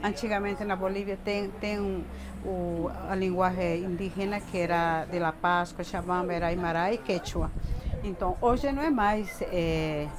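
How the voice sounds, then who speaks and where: Older woman, Bolivian accent, halting, repeats words, interviewee, crowd noise behind. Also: on the low side, fairly even intonation. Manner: halting, repeats words, frequent tag questions. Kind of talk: casual interview talk.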